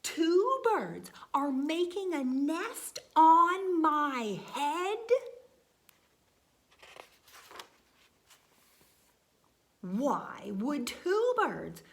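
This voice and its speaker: A woman's voice: high voice, big pitch swings, very slow, gravelly, few pauses, full character voice. A woman voicing an anxious, exasperated elephant in a storybook, with incredulous, emphatic questioning. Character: anxious, exasperated elephant, dismayed by birds on head.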